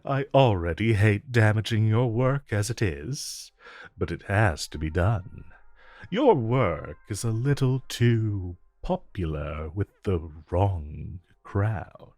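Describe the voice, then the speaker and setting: A man playing a suave, flirtatious supervillain in a romantic audio roleplay, talking in a smooth, teasing, unhurried way with drawn-out pauses. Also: on the low side, animated, a little mellow, dry recording. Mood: reluctant, wry. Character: suave, flirtatious male supervillain visiting his tailor. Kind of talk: romantic audio roleplay acting addressed to the listener.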